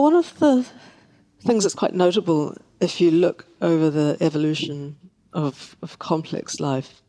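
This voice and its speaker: A woman speaking as a scientist guest in an interview, explaining things in a measured way. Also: fairly low pitch, dark tone.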